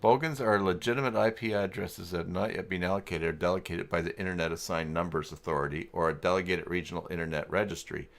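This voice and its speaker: A man's voice: low voice, steady tone, quick pace, vocal fry, hardly any pauses. A man hosting a casual livestream, thinking aloud in a rambling, muttering way. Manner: rambling, muttering through thoughts. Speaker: livestream host.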